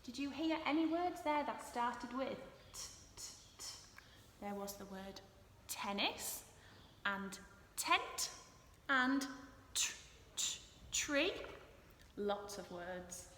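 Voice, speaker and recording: Slow, bright-voiced woman teaching young children. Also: on the high side, animated. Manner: deliberate, instructional, questioning. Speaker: early years teacher. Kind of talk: storybook narration and phonics lesson for young children. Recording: echoey hall-like room with noise.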